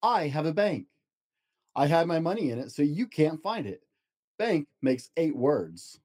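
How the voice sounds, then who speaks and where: A man's voice: fairly high pitch, very animated, unhurried, darkish tone. A man voicing a sassy, stubborn, clever little sister in a storybook, cocky and insistent. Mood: proud.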